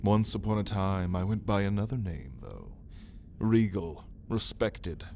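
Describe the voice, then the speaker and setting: A man playing a caged ancient vampire in an ASMR roleplay, refined and hungry, speaking in theatrical, menacing, archaic phrases with a breathy voice that often drops into a whisper. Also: fairly low pitch, expressive, a little slow, darkish tone, slight background noise. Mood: regal, wistful. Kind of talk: ASMR roleplay monologue spoken to the listener.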